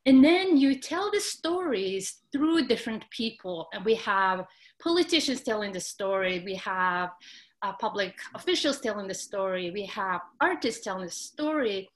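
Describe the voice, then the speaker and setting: A young woman academic on a video panel from her home study, speaking thoughtfully with halting pauses. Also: medium pitch, animated. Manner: thoughtful, halting, many fillers and restarts. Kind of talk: panel discussion remarks. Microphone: webcam mic on video call.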